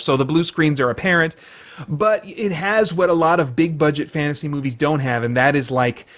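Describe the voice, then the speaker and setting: A man hosting a review show, giving chatty opinions and recommendations. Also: mid-range voice. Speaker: podcast host and film reviewer.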